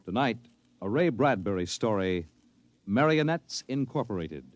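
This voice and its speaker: A man announcing and narrating a 1950s radio series in a measured, portentous, dramatic scripted style. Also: fairly low pitch, animated. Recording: dull 1950s radio recording. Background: music.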